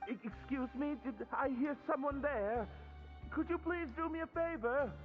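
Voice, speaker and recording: An actor playing a polite, hesitant stranger calling out for help in a video game. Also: male voice, very high voice, normal speed. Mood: pleading, polite. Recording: dull game audio with music.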